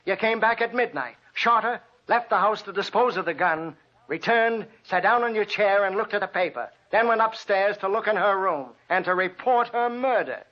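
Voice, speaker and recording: Man acting a high-voiced, stern accusing detective. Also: natural voice. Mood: sternly accusing. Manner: accusing, deliberate, dramatic. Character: stern, relentless detective confronting a murderer. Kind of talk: 1940s radio drama acting. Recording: muffled old radio recording.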